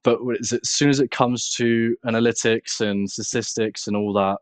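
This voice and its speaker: A man with a British accent chatting casually as an interview guest, plain-spoken, halting and self-deprecating. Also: medium pitch, steady tone, clear, resonant tone, dry recording. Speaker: interview guest, content creator. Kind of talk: casual chat.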